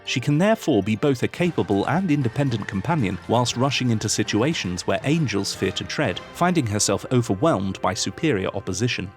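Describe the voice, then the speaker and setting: A man's voice: mid-range voice, animated, fairly quick, few pauses, soft background music. A man reading scripted, analytical video essay narration in a formal, articulate way.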